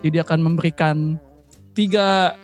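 High-voiced Indonesian man hosting playfully. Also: fairly even intonation, moderate pace, soft background music. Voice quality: rhotacism, soft R. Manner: playfully boastful, joking, code-switches into English. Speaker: podcast host. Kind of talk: casual bantering podcast hosting.

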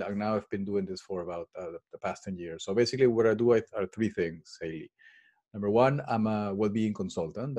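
A man's voice: low voice, a little mellow. A middle-aged man from Peru, answering interview questions about his work in a hesitant way, with many fillers and restarts. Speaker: guest, well-being consultant and coach.

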